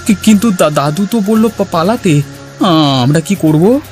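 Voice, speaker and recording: A man's voice: high-pitched, lively intonation, a little slow, darkish tone, faint music behind. A man voicing a timid, anxious village boy in a cartoon, hesitant and prone to stammering. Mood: frightened. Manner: hesitant, stammering when afraid. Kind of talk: cartoon character dialogue.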